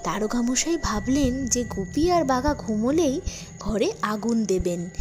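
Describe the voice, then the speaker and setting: A woman narrating a children's folk tale in an expressive, dramatic storytelling manner. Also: on the high side, unhurried, faint music behind.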